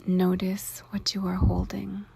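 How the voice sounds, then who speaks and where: A woman leads a meditation in a very breathy, whispered voice full of heavy creak. She speaks slowly, in short deliberate phrases with long pauses between them. Her delivery stays hushed and measured. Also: medium pitch, completely flat delivery. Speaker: meditation guide. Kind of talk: guided meditation narration with spacious pauses.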